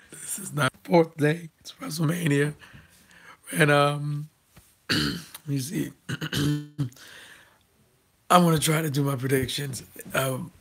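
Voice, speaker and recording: A man who has lost his voice, talking through casual wrestling predictions with a hoarse, raspy voice and many ums, restarts and throat clearing. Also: on the high side, a bit bright. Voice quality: raspy, gravelly. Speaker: wrestling vlogger.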